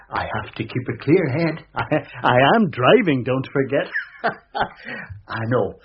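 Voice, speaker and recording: A shy, polite Father Christmas, hesitant and stammering, with a lively up-and-down voice and an unhurried pace. An old radio-play sound, dull and lightly hissing. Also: mid-range voice. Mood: bashful. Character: shy man, Joe, dressed as Father Christmas. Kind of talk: radio drama acting.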